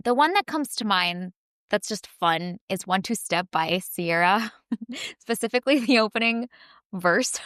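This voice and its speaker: A woman co-hosting a podcast in casual chat, animated and laughing often. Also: medium pitch, no echo, studio quality. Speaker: podcast co-host.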